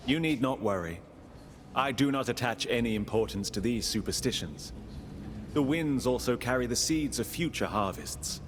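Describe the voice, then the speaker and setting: A male actor playing a gracious, thoughtful young prince in a video game, with a courteous, measured formality. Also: mid-range voice, expressive, a little hiss or hum, close to an everyday voice. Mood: gracious, sincere. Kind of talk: dramatic video game acting. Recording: music behind the voice.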